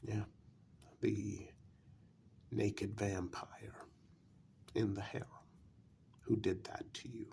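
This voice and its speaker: A man playing a calm, patient castle confidant in a soft-spoken ASMR fantasy role-play, measured and breathy with long pauses. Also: low voice, exaggerated voice. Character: calm, apologetic male confidant explaining a vampire curse in an old castle.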